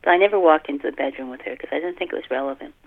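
A middle-aged woman talking casually in a podcast interview as an author, halting and often repeating and rephrasing her phrases. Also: low-pitched. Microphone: telephone. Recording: muffled, tinny telephone line.

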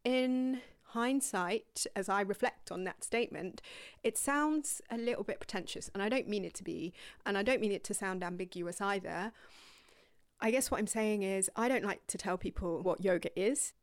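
A young woman yoga teacher telling her own story to viewers in a rambling, candid, casual way, dropping to breathy whispered asides now and then. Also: medium pitch, normal speed, no room sound, clean studio sound.

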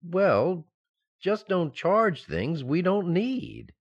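Man playing an exasperated husband, wildly swooping pitch. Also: very animated, drawn-out words, slightly rough, dull audio, exaggerated voice. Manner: patient, mock-stern, explanatory delivery. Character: exasperated, sensible banker husband in a domestic sitcom. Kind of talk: old-time radio sitcom acting.

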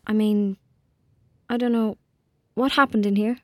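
An actress playing a frightened, guilt-ridden young girl in an audio drama, with an Irish accent and a halting, intense delivery that dips toward whispers. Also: mid-range voice, fairly even intonation, dry recording, clean studio sound, natural voice. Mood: groggy, confused.

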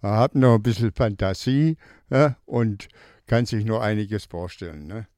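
A man's voice: on the low side, measured pace, mellow, no echo. Man, Swabian accent, cheerful visitor, laughs a lot, dry sound. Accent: Swabian German. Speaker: studio visitor.

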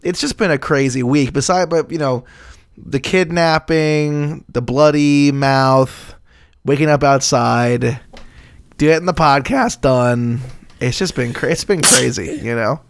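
A man chats as a comedy podcast co-host, rambling and joking loosely. His voice is fairly high for a man, with a lot of creak, in a dry, close recording. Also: unhurried, dry recording. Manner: jokey, rambling, profane. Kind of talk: casual comedic banter.

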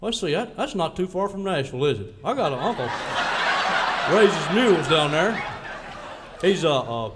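A man's voice: high voice, animated, unhurried, long pauses, very noisy. An actor playing a boastful, bumbling male country singer in a live radio comedy sketch, rambling and stammering in a folksy way. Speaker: comic guest singer.

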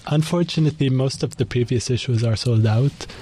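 Fast-talking young man from Lebanon. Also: medium pitch, mellow, husky, low-quality recording. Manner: fast, thoughtful, with many fillers. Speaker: guest, magazine founder. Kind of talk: radio interview conversation. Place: college radio studio.

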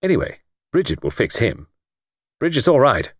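A man voicing a brisk, confident, chummy male friend in audiobook dialogue, breezy, slangy and emphatic. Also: medium pitch, wildly swooping pitch, dry recording, studio quality. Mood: brisk, persuasive.

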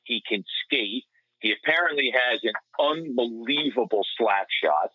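A man's voice: mid-range voice, animated, measured pace, no echo. A man giving conversational sports analysis over a remote line, explaining at length with small digressions, like a broadcaster on a panel. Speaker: hockey radio play-by-play announcer, podcast panelist. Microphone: remote call. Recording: dull lo-fi call-in line.

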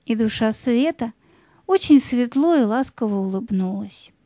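A woman narrates a children's audio fairy tale. Her voice is slightly high and mellow, with measured, lyrical phrasing. The sound is close and dry. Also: animated, no room sound.

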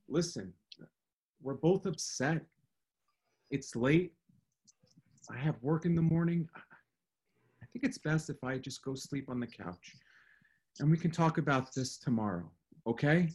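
Radio drama husband, played by a man: husky voice with wide swings in pitch, defensive and fed up. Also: expressive, slow pace, long pauses, a little mellow, full character voice. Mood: subdued, placating. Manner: defensive, exasperated. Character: defensive, exasperated young husband tired of arguing.

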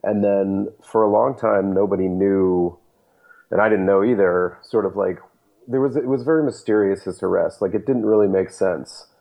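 A man being interviewed as a journalist, explaining at length in a measured way with frequent fillers. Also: on the low side, creaky, dark tone, poor audio. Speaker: journalist guest. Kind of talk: interview answers.

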